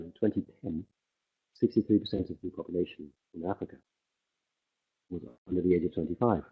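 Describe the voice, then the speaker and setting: A man interpreting a conference speech on the fly, halting often with fillers as he keeps up with the speaker. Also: deep voice, slightly rough. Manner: halting, many fillers and restarts while keeping pace with the speaker. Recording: tinny phone-like livestream audio.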